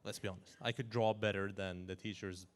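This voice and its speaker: Lively man in his forties. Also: fairly low pitch, a little fast. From Iran, raised in Norway. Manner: animated, chuckling, frequent restarts. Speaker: guest. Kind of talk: casual interview talk.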